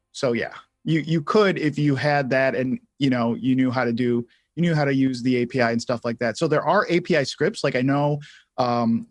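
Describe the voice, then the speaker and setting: A man's voice: mid-range voice, no echo. Man chatting casually, close dry sound. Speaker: livestream co-host answering viewer questions. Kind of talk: casual explanatory chat.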